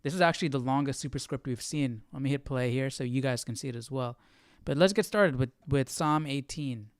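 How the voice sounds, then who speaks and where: A man hosting an informal Bible study, teaching casually and thinking aloud with halting, repeated phrases. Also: mid-range voice, no room sound. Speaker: host of a Bible study live stream.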